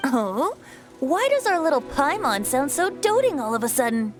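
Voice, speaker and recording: High-voiced lively boy bard. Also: normal speed. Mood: teasing. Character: youthful boy bard, playful, theatrical, light-hearted and sincere. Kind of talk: anime video game voice acting.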